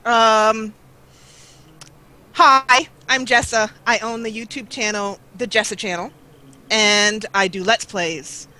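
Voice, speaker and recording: A woman's voice: on the high side, steady tone, slow, pushed and bright. A woman chatting casually and playfully as a gaming guest on an online call. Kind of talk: casual chat.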